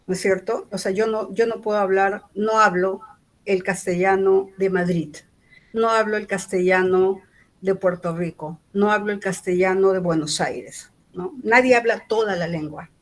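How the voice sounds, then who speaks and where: Peruvian woman lecturing with a Lima accent. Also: medium pitch. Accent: Lima Spanish. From Peru. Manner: explanatory, frequent "¿no?" tag questions and fillers. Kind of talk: university lecture.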